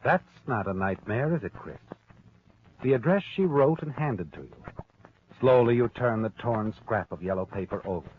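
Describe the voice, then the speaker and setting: An actor playing a knowing, taunting narrator of a 1940s radio mystery, telling it in the second person with dramatic insinuation. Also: male voice, mid-range voice, animated, moderate pace, husky. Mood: knowing, ominous. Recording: tinny, narrow old-time radio recording. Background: music.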